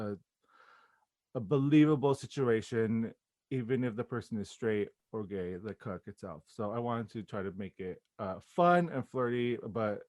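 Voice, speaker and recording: A man's voice: mid-range voice, no room sound. A man with an American accent talking casually as an interview guest, in a hesitant, giggly manner. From Los Angeles, United States.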